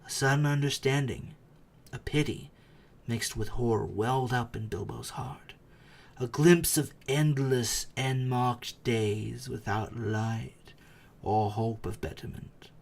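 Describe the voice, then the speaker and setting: A man's voice: medium pitch, fairly even intonation, slow pace, vocal fry. A man reading a storybook aloud as a dramatic narrator, with theatrical, suspenseful delivery. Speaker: audiobook reader.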